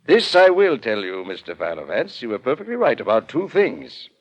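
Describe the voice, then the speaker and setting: A man's voice: mid-range voice, very animated, normal speed. An actor playing a proud, sly craftsman of stage illusions, with formal, theatrical phrasing in a 1940s radio drama. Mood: sly, taunting. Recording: dull, lo-fi 1940s radio recording.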